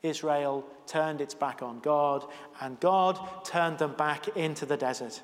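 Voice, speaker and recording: A man's voice: a little high, a little slow. A male preacher giving a sermon in a church, chatty with self-deprecating asides. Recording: long church reverb.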